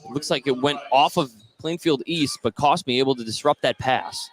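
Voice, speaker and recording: A man's voice: mid-range voice, moderate pace. A man doing live play-by-play commentary of a basketball game. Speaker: play-by-play announcer. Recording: live gym noise behind the voice. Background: gym ambience of a basketball game.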